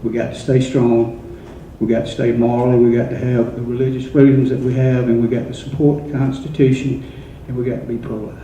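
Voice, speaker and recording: Earnest male candidate, deep and very dark-toned, distant in an echoing hall. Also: flat delivery, brisk, hardly any pauses, a little hiss or hum. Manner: plain, earnest, repeats phrases for emphasis. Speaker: political candidate. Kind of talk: campaign speech at a forum. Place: city auditorium. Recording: echoey hall, far from the mic, muffled.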